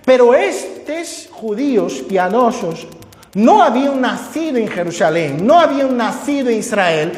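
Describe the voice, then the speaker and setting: A pastor whose first language is Portuguese gives an expository sermon in a church, teaching with conversational asides and personal examples. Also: male voice, very high voice, sing-song, a little slow. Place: church sanctuary. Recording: echoey church hall with long reverb.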